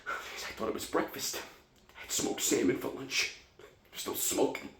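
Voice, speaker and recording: A man's voice: high-pitched, very animated, a little fast, a bit bright, slight background noise, pitched above the performer's own voice. A man performing a comic stage monologue as a neurotic, panicky hypochondriac who gasps and exclaims as if dying. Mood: panicked. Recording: roomy, echoing solo room recording.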